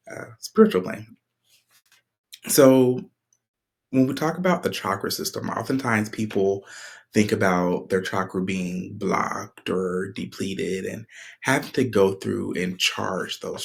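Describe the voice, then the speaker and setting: A man hosting a spiritual podcast in a casual, conversational way, clearing his throat often while his voice recovers. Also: fairly low pitch. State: recovering from a sore voice. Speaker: podcast host.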